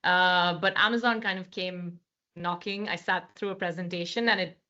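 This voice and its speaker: Low bright-voiced Indian woman. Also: fairly even intonation, normal speed. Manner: articulate, many fillers and restarts. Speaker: guest, managing director. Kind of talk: podcast interview, conversational. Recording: slightly dull lo-fi sound.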